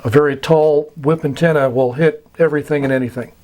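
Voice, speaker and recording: A man giving an informal explanatory lesson as an instructor, deliberate and halting, with long pauses. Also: a little high, steady tone, a little mellow, slight background noise.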